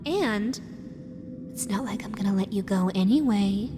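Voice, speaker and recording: A woman's voice: mid-range voice, expressive, measured pace, background noise, close to an everyday voice. A woman voicing a sweet, teasing female animatronic fox made for children, in an intimate roleplay audio addressed to the listener. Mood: playful, coaxing. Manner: playful cooing, drifting into wistful musing. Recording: long reverberant hall echo. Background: music.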